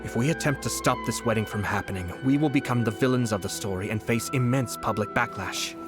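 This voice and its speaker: An actor playing a calm, scheming young noble commissioner who speaks formally and in measured tones. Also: male voice, mid-range voice, music in the background, close to an everyday voice. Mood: calculating. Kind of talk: game dialogue.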